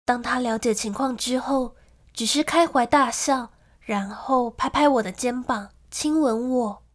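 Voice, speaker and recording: An actress playing a lonely young gentlewoman who tells of a frightening childhood in an emotive radio drama style. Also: a little high, unhurried, lightly acted. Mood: melancholy. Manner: storytelling, emotive.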